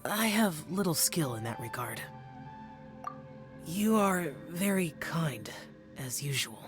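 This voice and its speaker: A man's voice: fairly high pitch, expressive, takes it slowly, over music. A man playing a solemn, reserved young male warrior adept in a video game, speaking formally and with restraint. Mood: quiet, sincere.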